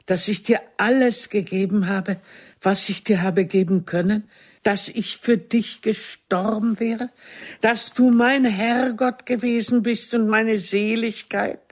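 An actress playing a proud ageing stage diva in a German radio play, reproaching a former lover in a husky, theatrical, cutting way. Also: female voice, mid-range voice, fairly even intonation, slow pace. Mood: wounded, impassioned. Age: older. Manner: theatrical, cutting, formal address.

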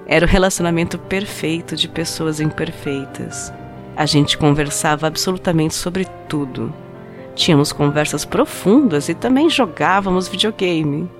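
Audio drama narrator: actress as a heartbroken young woman, lowish voice, confessional and wistful, music underneath. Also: moderate pace. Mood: tender, nostalgic. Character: heartbroken young woman, drunk, wistfully recalling a lost love. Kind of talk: dramatized first-person short story narration in a podcast.

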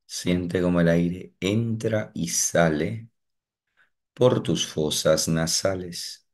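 A man guiding a meditation, giving slow, calm instructions with long pauses. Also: deep voice. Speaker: meditation guide. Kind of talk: guided meditation.

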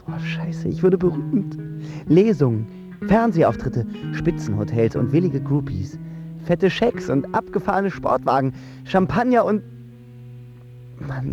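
A man's voice: on the high side, vocal fry, highs cut off. An actor playing a moody, lovesick young writer who tells his story in a radio drama. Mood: elated, stunned. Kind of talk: radio drama acting, first-person narration. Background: guitar music.

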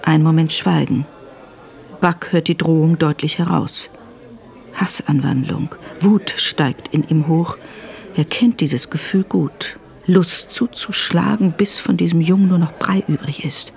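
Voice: mid-range voice, measured pace, gravelly, a little mellow. A narrator reading a scripted radio thriller in the present tense.

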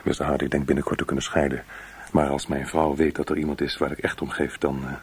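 A nervous clerk, low gravelly voice. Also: male voice, flat delivery, full character voice. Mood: pleading. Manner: nervous, halting, apologetic. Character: nervous, apologetic male ministry records clerk. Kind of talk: radio drama acting.

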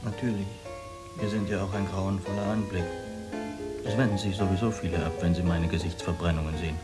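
A man acting a disfigured war veteran in a radio play, low and subdued. He sounds resigned yet considerate, with clear plucked-string music behind. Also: little pitch movement, fairly quick, close to an everyday voice. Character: war invalid with burnt face, bitter but considerate.

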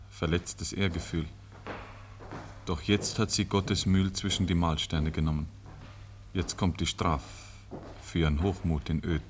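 Solemn old-time radio storyteller, deep voice, measured literary delivery. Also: male voice, slight background noise. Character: grave, ironic male storyteller. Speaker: narrator. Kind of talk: scripted narration, 1950s radio drama.

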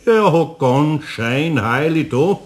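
A man's voice: on the high side, animated, slow pace. An actor playing a good-natured master craftsman with a Bavarian accent, speaking in a homely, earnest way in a radio play. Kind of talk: German radio play acting.

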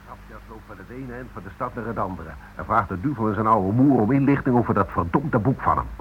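An actor playing a coarse, irritated, scheming boss in a Dutch radio play. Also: male voice, lowish voice, quick pace, natural voice. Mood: irritated, plotting. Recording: muffled, noisy old radio play recording.

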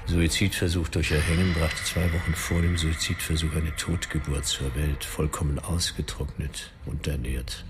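An actor playing a doctor flatly reading out a patient's file in a radio drama. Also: male voice, a bass voice, moderate pace, few pauses. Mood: clinical.

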